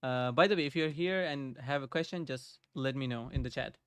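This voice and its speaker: A man's voice: medium pitch, a little fast, a bit bright, clean tone, dry recording, studio quality. A young man streaming live from his home desk, thinking aloud as he codes and greeting viewers in the chat. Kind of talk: casual live coding chat. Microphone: desk microphone.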